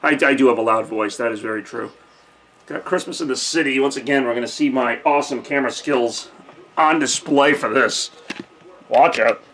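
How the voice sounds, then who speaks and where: A young man casually hosting a live holiday stream from a decorated room at home, joking and thinking out loud with lots of fillers, recorded on a camcorder mic. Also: mid-range voice, moderate pace, a little hiss or hum. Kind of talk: casual live-stream chat reading viewer comments.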